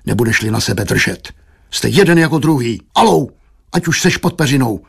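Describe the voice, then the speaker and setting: A man voicing a grumpy, weary old shopkeeper father in an audiobook, gruff, growling and scolding. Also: lowish voice. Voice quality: grumbling, growling.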